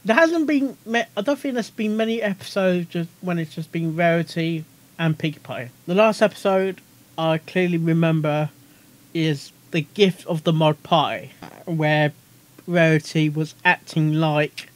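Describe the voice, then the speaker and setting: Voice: mid-range voice, unhurried. A reaction video host giving casual commentary, rambling, giggly and often repeating phrases.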